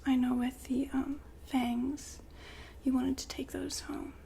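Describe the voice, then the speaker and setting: A woman whispering softly and breathily in an ASMR roleplay, playing a gentle, attentive shop consultant. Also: sits high, little pitch movement, slow, creaky. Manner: gentle, attentive customer-service patter. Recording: faint background noise and ambience.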